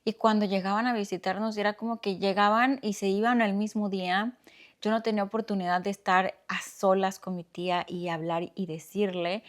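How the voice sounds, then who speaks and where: A young woman from Oaxaca speaks with a Mexican accent in a medium-pitched voice. She hosts her podcast alone, telling a personal story in a soft, reflective tone. She takes her time and pauses often. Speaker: podcast host.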